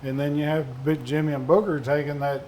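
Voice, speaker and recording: A man's voice: a little high, flat delivery, fairly quick, a little mellow, a little hiss or hum. A man with a Southern accent, a distiller on a panel, telling folksy, rambling anecdotes. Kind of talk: casual storytelling on a panel.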